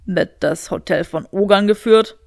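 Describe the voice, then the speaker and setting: A woman's voice: medium pitch, unhurried, creaky. A woman voicing a cocky, sardonic young man with a sarcastic drawl, in an audiobook story. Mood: dry sarcasm.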